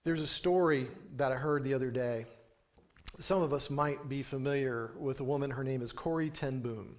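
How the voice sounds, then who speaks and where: A man's voice: mid-range voice, normal speed. A man in his thirties, a pastor giving a sermon from a pulpit microphone in a small church, telling stories in an earnest way and lifting his voice for emphasis. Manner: earnest storytelling with emphatic rising lines. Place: small church sanctuary, indoors.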